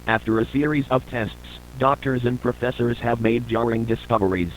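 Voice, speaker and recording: A man with a mid-pitched voice narrates in the formal style of an investigative report for analog horror. His delivery is measured and scripted. Clear noise and ambience sit behind the voice throughout. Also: steady tone, low-quality recording.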